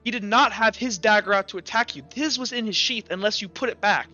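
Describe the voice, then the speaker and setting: A man playing a skeptical, methodical courtroom prosecutor who presses with halting, repeated questions in a tabletop roleplay. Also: sits high, bright, forceful tone, muffled recording, faint music behind. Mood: probing.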